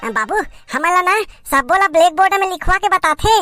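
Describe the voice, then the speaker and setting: A woman voicing a cheeky, pleading young schoolboy in a comic cartoon, with a Chhattisgarh accent and a whiny, halting delivery. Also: extremely high pitch, expressive, bright, forceful tone, faint music behind, full character voice. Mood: cheeky, insistent.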